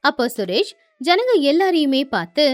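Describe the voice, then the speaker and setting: A woman's voice: sits high, very animated, normal speed. A woman narrating a scripted moral tale as a storyteller.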